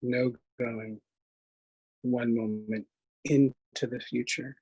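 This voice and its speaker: A man leading a guided meditation in a gentle, unhurried manner, leaving long pauses between short phrases. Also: mid-range voice, steady tone, breathy edge, dull audio. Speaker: meditation instructor.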